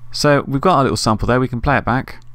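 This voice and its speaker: A man's voice: medium pitch, fast talker, a bit bright, dry recording. A man hosting a casual screen-recorded tutorial, thinking aloud informally as he works. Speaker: tutorial host. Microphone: USB desktop microphone.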